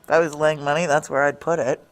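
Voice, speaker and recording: A woman's voice: low voice, flat delivery, fast talker, close to an everyday voice. An actress playing a weary, blunt career military woman in an audio drama, middle-aged, with an American accent and a wry, intimate way of talking. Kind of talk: naturalistic noir audio drama dialogue.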